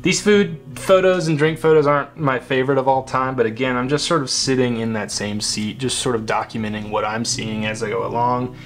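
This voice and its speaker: A young man, a photographer, casually talking through his photos, relaxed and rambling with many fillers. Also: mid-range voice, fairly quick, hardly any pauses. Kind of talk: casual screen walkthrough. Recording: faint guitar music underneath. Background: faint guitar music.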